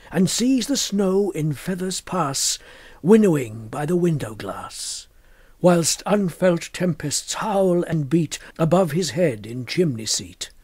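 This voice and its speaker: A man reading poetry aloud in an expressive literary style, with measured and deliberate phrasing. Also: fairly high pitch, no echo, studio quality. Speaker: poetry reader.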